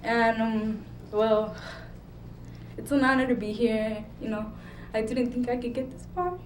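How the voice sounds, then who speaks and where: Nervous young woman, halting speech. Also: fairly high pitch. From Mexico. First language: Spanish. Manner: halting, many ums and pauses. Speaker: award-winning student. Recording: echoey hall from far off, faint noise.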